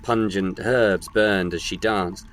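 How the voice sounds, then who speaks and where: Low-voiced man narrating a solemn story. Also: steady tone, slow pace, slight background noise. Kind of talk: scripted story narration for audio drama. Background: music.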